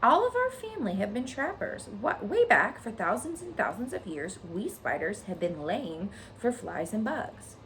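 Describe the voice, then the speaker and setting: A woman reader plays a bloodthirsty lady spider from a storybook. The voice is thin and pleasant, with lively swoops as she explains herself frankly and breezily. There is clear noise on the recording. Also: mid-range voice, normal speed, hardly any pauses, voice pushed up, rough recording. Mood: frank, breezy. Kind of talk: children's storybook read aloud.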